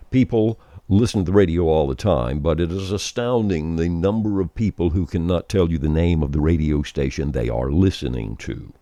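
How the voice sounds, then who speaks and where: Very deep, gravelly older man. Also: dark tone, dry recording. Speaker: veteran radio programmer and former disc jockey presenting a series. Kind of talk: informal instructional monologue.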